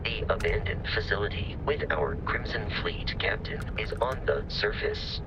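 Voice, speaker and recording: A man voicing a polite, dutiful robot companion in a video game, speaking in a formal, precise, measured way as an instructor. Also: on the low side, animated, lo-fi sound, very noisy, close to an everyday voice. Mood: calm. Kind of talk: scripted video game dialogue. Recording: game music behind the voice.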